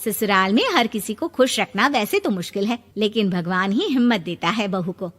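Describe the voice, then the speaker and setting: A woman's voice: medium pitch, lively intonation, moderate pace, a bit bright, pitched below the performer's own voice. A woman voicing an admonishing, indignant middle-aged mother of a married daughter in a cartoon moral story. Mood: advising. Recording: long reverb over background music.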